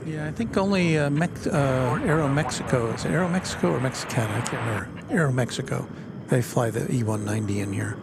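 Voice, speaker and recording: A man's voice: mid-range voice, fast, vocal fry. A man hosting a live airport stream from outdoors, chatting casually and reading out viewer comments. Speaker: livestream host reading chat. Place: outdoors near an airport runway. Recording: loud outdoor airport noise. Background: aircraft and traffic noise.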